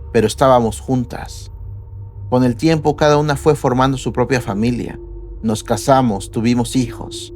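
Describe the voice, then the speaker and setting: Man reading horror tales over soft music. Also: medium pitch. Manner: measured, somber reading. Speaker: horror story narrator. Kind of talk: scripted horror narration. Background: faint background music.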